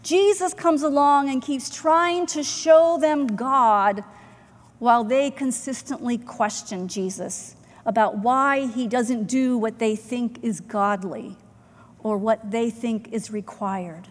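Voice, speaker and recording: A middle-aged woman pastor preaches at a slow, unhurried pace, her voice sitting a little high. She speaks in a measured, earnest way with deliberate pauses, heard through a pulpit mic. The church sanctuary carries a long, lingering reverb. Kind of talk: sermon. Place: church sanctuary, in front of the chancel. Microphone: pulpit microphone, far camera.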